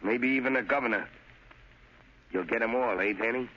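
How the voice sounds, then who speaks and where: A man's voice: mid-range voice, normal speed, breathy edge, natural voice. A man playing a bitter, menacing condemned gangster with tough, slangy street talk in a vintage radio drama. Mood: low, commanding. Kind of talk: 1940s radio acting. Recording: muffled old radio recording.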